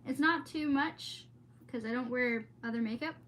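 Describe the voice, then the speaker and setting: A woman's voice: sits high, normal speed, fairly bright tone. A woman streamer chatting in a casual, rambling, exclamatory way, easily sidetracked. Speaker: streamer hosting a read-aloud. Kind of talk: casual rambling vlog chat.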